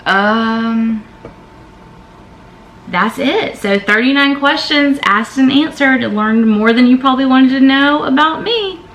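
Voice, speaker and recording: A woman's voice: on the high side, vocal fry, fairly bright tone, echoey room, a little hiss or hum, low-quality recording. A woman, chatting candidly as she reads out questions and answers them in a vlog. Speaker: vlogger. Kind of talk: casual Q&A vlog.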